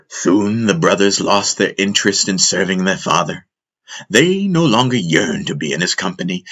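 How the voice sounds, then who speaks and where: A man narrating a fantasy audiobook in a measured, solemn, dramatic storytelling style. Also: low-pitched, a bit bright, slightly rough.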